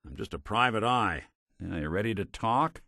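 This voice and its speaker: World-weary American robot gumshoe for a noir game: lowish, very expressive, dry wit, kind underneath. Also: male voice, moderate pace, gravelly, no room sound, exaggerated voice. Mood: wry, reflective. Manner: wry, folksy old-time gumshoe delivery. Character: weary, wry synth private detective, kind but hard-boiled. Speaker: video game voice actor. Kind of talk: noir detective game dialogue.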